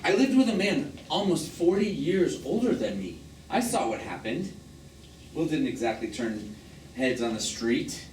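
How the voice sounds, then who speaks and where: A man's voice: fairly high pitch, sing-song, measured pace. Man acting restless younger man, echoey distant stage. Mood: defiant confessing. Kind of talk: live stage play monologue. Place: small theatre stage, recorded from the audience. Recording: echoey theatre from far off, noisy.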